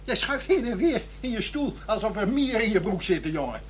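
A man's voice: high-pitched, sing-song, brisk, slight background noise. A man playing a stern, exasperated company boss in a radio drama, blunt, commanding and sarcastic.

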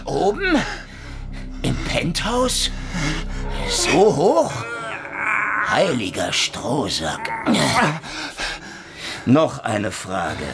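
Casting: insolent wisecracking rebel in radio drama, mid-range voice, very lively pitch, music underneath. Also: male voice, extremely slow, long pauses, heavily acted. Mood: sarcastic pressing. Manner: sardonic, needling, mock-polite. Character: brash, wisecracking, defiant male intruder interrogating KGB men at gunpoint. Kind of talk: German radio drama acting.

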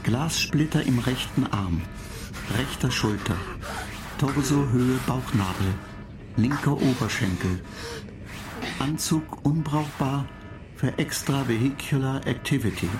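An actor in a radio play voicing an impassive spaceship computer that makes clipped, monotone technical announcements. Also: medium pitch, slow pace, over music. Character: impassive spaceship computer reporting faults and countdowns.

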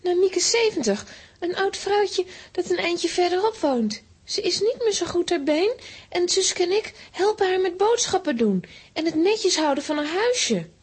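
An eager young girl with a cheeky streak in a children's radio play. The voice is extremely high and childlike. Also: animated, a little slow. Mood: eager, sweet. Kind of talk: children's audio drama acting.

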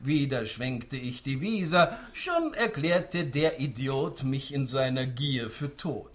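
An actor playing a smug, spry old tycoon patriarch in a rhymed radio play, theatrical and savouring each line. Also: male voice, mid-range voice, expressive, slow pace. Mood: sly, gleeful. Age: older.

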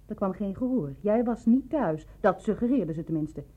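A woman in a radio drama playing a lonely, bereaved woman, coolly probing and insinuating in measured, clipped phrases. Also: mid-range voice, animated, mellow, breathy edge. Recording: narrow, dull lo-fi radio play recording.

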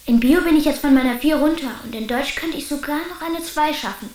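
A woman's voice: sits high, brisk, lightly acted. A woman playing an eager, candid schoolboy in a German children's radio drama. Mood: hopeful, hesitant. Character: Anton, eager schoolboy, candid and a little stubborn. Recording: hissy, roomy recording.

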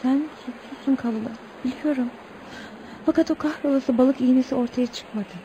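Casting: woman as loving young heroine for radio theatre, steadfast, earnest, mellow fairly high voice. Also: normal speed, natural voice. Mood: tender. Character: devoted, steadfast young girl in love. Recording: dull, faintly noisy radio recording.

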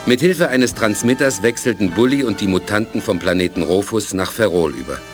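A man's voice: fairly low pitch, expressive, fairly quick, over music, lightly acted. A man narrating a science fiction radio play as a sober storyteller. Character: sober male storyteller of a space adventure.

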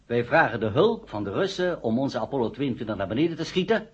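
A man in a radio play acting a formal, hard-headed, coldly logical council member with a husky voice. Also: mid-range voice, big pitch swings, fast talker. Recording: dull old radio play recording.

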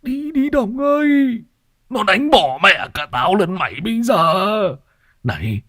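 A man's voice: high voice, sing-song, a little slow, pushed and bright, no echo, studio quality, pitched above the performer's own voice, heavily acted. A man voicing a jittery old fortune-teller who stammers and pleads in fright. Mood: frightened urging.

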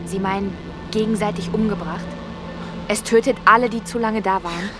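Schauspielerin als ernste, beunruhigte junge Heldin. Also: female voice, medium pitch, fairly even intonation, moderate pace, background noise, natural voice. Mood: alarmed. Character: uneasy, empathic woman named Ara in futuristic city. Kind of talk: science-fiction radio drama acting.

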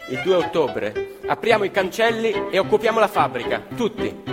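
A man telling a story on stage in the first person, emphatic and lively. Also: high-pitched, moderate pace. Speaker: stage actor narrator. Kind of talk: theatrical storytelling. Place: theatre stage. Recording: live theatre with long reverb. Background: live violin and piano.

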